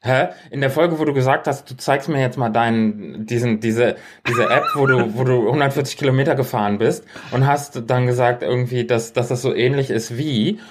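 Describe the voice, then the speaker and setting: A man's voice: medium pitch, brisk, few pauses. Chatty male podcast co-host. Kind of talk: casual humorous podcast chat.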